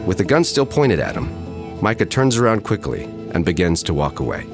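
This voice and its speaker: A man reading scripted, dramatic narration in a measured, deliberate way. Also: sits low, big pitch swings. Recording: narration over loud guitar music.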